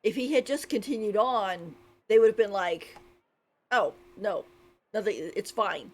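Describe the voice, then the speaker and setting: A woman's voice: mid-range voice, unhurried. A woman giving casual, opinionated live commentary as she streams a game. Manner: informal, opinionated.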